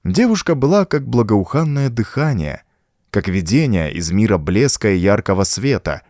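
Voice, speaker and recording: A man narrating a horror story as an audiobook, in an expressive, suspenseful storytelling manner. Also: lowish voice, normal speed, no echo, studio quality.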